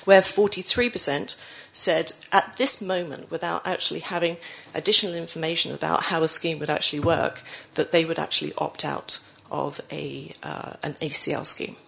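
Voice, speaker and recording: A woman presents to a conference audience, her voice low and gravelly and roughened by a cold. She talks through slides with frequent ums and restarts, correcting herself as she goes. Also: fairly even intonation, normal speed, hardly any pauses, a bit bright, slight background noise, poor audio. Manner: frequent fillers and self-corrections. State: croaky from a cold. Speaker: conference speaker.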